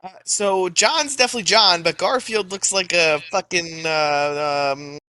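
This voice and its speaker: A man's voice: high voice, measured pace, sharp tone, clean tone. A man playing a tabletop game, talking casually in a rambling way with heavy swearing. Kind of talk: casual in-character play.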